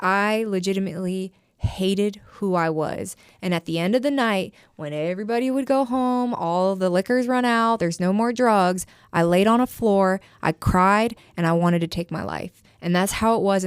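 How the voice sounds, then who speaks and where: A woman's voice: medium pitch, normal speed, smooth, no room sound, clean studio sound. A woman in her thirties telling her story frankly as a podcast guest, rambling with lots of "like". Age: 30s. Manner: frank, rambling, heavy use of filler "like". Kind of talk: candid personal storytelling.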